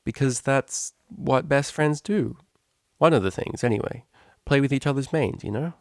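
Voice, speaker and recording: A man voicing a brash, excitable young unicorn mare. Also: medium pitch, moderate pace, no echo, clean studio sound, voice pushed up, lightly acted. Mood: flustered. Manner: slangy, exclamatory, teasing. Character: brash young female unicorn DJ, playful, easily flustered.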